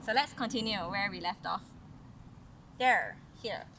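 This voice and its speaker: A woman reading a fairy tale aloud to children in an instructive way, pausing for chatty comments and questions. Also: mid-range voice, pushed and bright. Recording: noisy home recording.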